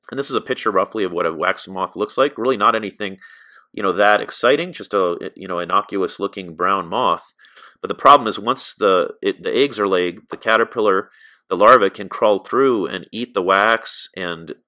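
Bright-voiced middle-aged American man teaching. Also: on the low side. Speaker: beekeeping instructor. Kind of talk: informal narrated slide lesson.

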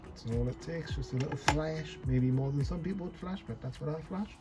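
A man's voice: a little high, a little fast. Chatty man tying flies over music. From North East England. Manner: chatty, self-deprecating, thinks aloud while working. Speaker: fly tying tutor. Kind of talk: casual off-the-cuff tutorial. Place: indoor tying desk.

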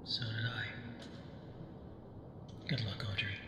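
A weary, whispering prisoner. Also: male voice, lowish voice, fairly even intonation. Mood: cryptic. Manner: hushed, half-whispered, tired and measured. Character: weary long-imprisoned man, calm and cryptic. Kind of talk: video game cutscene acting. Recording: cavernous echo with long reverb and ambient noise.

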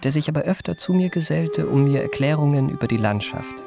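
An actor playing an urbane, self-satisfied gentleman narrating his memoirs in ornate phrases for a radio play. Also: a little high, expressive, creaky, over music. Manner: ornate, measured literary phrasing. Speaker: first-person narrator. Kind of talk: scripted radio drama narration.

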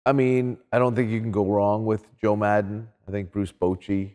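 Male sports pundit, middle-aged, dark relaxed tone, emphatic, reverberant room. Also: lowish voice, moderate pace. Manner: emphatic, checks in with "right?". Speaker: sports commentator guest. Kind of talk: casual opinionated sports talk. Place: small indoor studio set with couches.

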